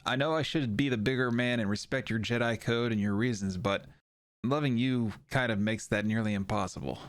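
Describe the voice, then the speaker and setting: Easygoing male doctor, played by an actor, mid-pitched, dry recording, frank and a little lovelorn, for audio drama. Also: fairly quick, no echo, close to an everyday voice. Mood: wistful.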